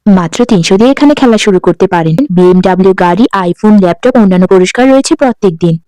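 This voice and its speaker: A woman delivers a scripted promotion at a fast pace. Her voice is mellow and clear, at a middling pitch. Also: no room sound, rough recording. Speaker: advertiser.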